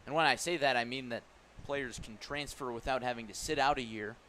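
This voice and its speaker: A man's voice: mid-range voice, brisk, pushed and bright. A man doing live play-by-play radio commentary of a baseball game. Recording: live ballpark broadcast with background noise.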